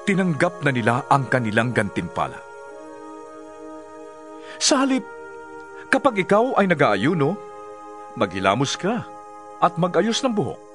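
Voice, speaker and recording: An actor playing an earnest male teacher preaching to followers in a dramatized scripture reading, solemn, deliberate and expressive. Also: fairly high pitch. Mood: earnest teaching. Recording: background music under the voice. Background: music.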